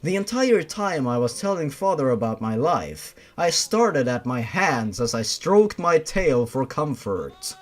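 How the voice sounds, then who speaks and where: A man's voice: mid-range voice, sing-song, measured pace, few pauses. A man narrating a story in a theatrical, dramatic way, with sarcastic asides.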